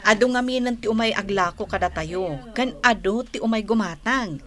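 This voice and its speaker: A woman's voice: medium pitch, moderate pace, a bit bright, natural voice. A woman playing a sensible, sympathetic elder-sister fruit trader in an Ilocano radio drama. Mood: practical.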